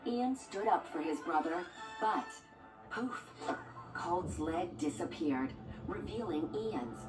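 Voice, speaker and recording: Female storybook narrator for children, lowish voice, scripted read, music underneath. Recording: echoey, distant, music behind.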